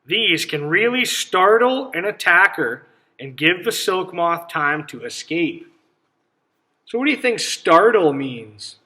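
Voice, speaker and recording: Animated high-voiced man reading to children. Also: takes it slowly. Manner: instructive, checks understanding with simple questions. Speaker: teacher reading a picture book aloud to children. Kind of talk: children's read-aloud with questions to listeners.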